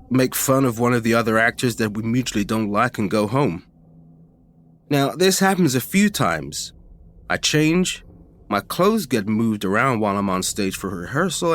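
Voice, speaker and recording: A man reads a spooky personal tale for a podcast. His pitch sits in the middle for a man but moves around a great deal. The delivery is informal and expressive, like someone recounting it in conversation. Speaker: podcast story reader. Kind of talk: first-person ghost story narration, conversational storytelling.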